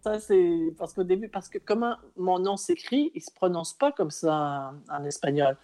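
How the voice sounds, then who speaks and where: A woman's voice: lowish voice, expressive, normal speed, mellow. A woman from Quebec, talking casually and emphatically as an interview guest, telling anecdotes. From Quebec, Canada.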